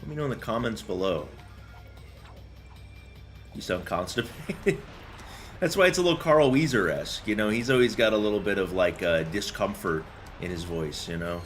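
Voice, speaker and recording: Low-voiced, animated young streamer guy. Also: moderate pace, long pauses, faint music behind. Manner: slangy, joking, rambling. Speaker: game streamer. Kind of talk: casual gameplay commentary and chat.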